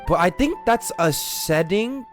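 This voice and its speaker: A male game streamer talks casually to his viewers with a very lively, swinging pitch. He uses slang and repeats phrases for emphasis, with faint music behind him. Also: a little slow. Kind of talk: casual live stream commentary.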